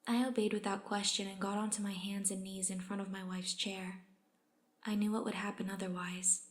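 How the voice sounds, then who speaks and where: Woman as story reader, medium-pitched, resigned first-person narration of a put-upon underling. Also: little pitch movement, normal speed, lightly acted. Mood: subdued, resigned.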